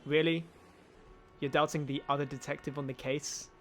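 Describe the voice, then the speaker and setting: A man's voice: on the high side, flat delivery, normal speed, soft background music, natural voice. A man playing a cheeky, wisecracking, hapless amateur detective hero in a comedic audio drama. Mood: cocky.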